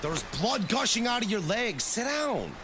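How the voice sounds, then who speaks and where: A man's voice: extremely high pitch, sing-song, normal speed. A man acting in an audio drama as a practical, blunt castaway who takes charge. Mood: brisk, practical. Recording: noisy outdoor ambience.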